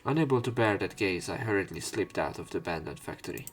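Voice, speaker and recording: A man's voice: deep voice, lively intonation, fast talker, slightly rough, faint music behind. A man playing through a visual novel, reading its narration aloud and adding casual, informal commentary of his own. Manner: informal, swearing in reactions. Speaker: let's player.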